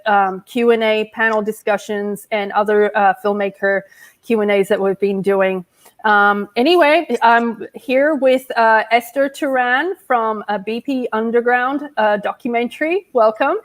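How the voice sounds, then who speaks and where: A woman hosting an online film festival Q&A in a relaxed, conversational way with frequent ums. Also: fairly high pitch, a little slow. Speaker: festival host.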